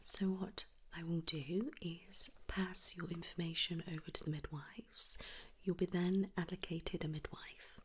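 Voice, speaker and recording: A woman's voice: lowish voice, flat delivery, a little slow, a bit bright, a little hiss or hum. A woman whispering soothingly and reassuringly as a nurse in a one-sided ASMR roleplay.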